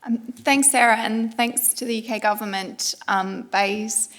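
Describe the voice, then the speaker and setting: An Australian woman giving an explanatory panel presentation at a conference, pausing often as she speaks. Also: on the high side, fairly even intonation, fairly bright tone. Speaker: panel speaker, director of a climate law non-profit.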